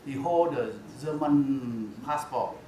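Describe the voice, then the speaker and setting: A male monk recounts a story in halting English, stopping often to search for words. His voice sits at a middle pitch and rings a little in a roomy space. A faint noise hangs behind him. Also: warm tone. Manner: halting non-fluent English, many "uh" fillers and restarts. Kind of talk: storytelling dharma talk.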